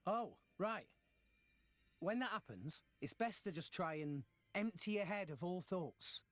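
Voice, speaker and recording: Earnest teenage boy hero for a game: high, animated, sincere. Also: unhurried, no echo. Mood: earnest advice. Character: earnest, good-natured teenage boy salvager. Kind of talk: video game cutscene acting.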